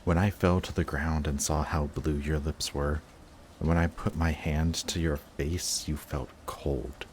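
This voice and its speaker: A man playing an emotional villain confessing to his longtime enemy in a dramatic audio roleplay, intense, halting and defensive. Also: a bass voice, fairly even intonation, slight background noise. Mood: pained, confessing.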